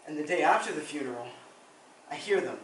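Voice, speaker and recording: A man's voice: on the high side, animated, fairly quick, fairly bright tone. A young man performing a withdrawn, regretful teenager in a confessional dramatic stage monologue. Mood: subdued, regretful. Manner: subdued, confessional. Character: regretful, withdrawn teenager haunted by missing a funeral. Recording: echoey room, distant mic, noisy.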